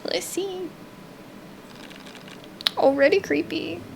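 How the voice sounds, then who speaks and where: A woman's voice: extremely high pitch, slow pace, vocal fry, a little mellow, a little hiss or hum. A woman giving casual let's play commentary, thinking aloud in a rambling, hesitant way. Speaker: gaming video host.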